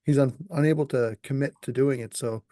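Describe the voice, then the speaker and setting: A man's voice: mid-range voice, steady tone, a little fast, mellow, dry recording, studio quality. A man hosting a podcast in an easygoing chat, with many ums and frequent laughs. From New Brunswick, Canada. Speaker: podcast host. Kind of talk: casual interview chat.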